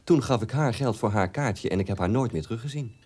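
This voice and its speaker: An actor playing a wounded, unloved grown son in a radio drama, telling it plainly and deliberately. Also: male voice, fairly low pitch, a little mellow, breathy edge. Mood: wronged, regretful.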